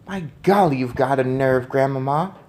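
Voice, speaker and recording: Man playing an excitable, urgent young grandson. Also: mid-range voice, normal speed, natural voice. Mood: amazed. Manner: urgent, exclaiming. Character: excitable young grandson turned mouse, eager, questioning.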